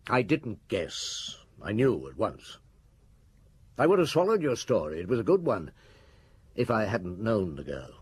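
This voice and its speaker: An actor playing a shrewd, authoritative Paris police chief who questions in a probing, measured way in a radio drama. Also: male voice, lowish voice, big pitch swings, husky, exaggerated voice. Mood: commanding.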